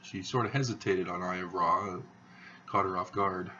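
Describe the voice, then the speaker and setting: A man hosting his own video channel, talking in a slow, halting monotone with many fillers as he rambles. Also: deep voice, recorded from a distance, muffled recording, a little hiss or hum. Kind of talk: rambling monologue to camera.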